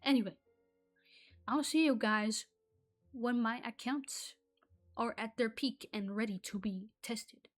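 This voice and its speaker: A woman's voice: fairly high pitch, slow, pauses often, soft background music. A woman whose first language is Arabic, ranting casually to her viewers in a rambling, exasperated way that keeps breaking off mid-sentence. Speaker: gaming vlogger. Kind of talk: casual rant to camera.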